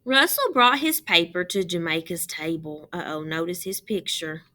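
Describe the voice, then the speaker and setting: A woman reading a picture book aloud as a teacher, pausing to explain and ask young children questions. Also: lowish voice, bright, forceful tone.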